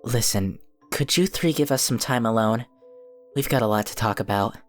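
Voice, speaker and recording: A man playing a calm, diplomatic cookie wizard who speaks in an earnest, formal, measured way in a fan cartoon drama. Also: mid-range voice, soft background music, lightly acted. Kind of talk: scripted fan audio drama acting.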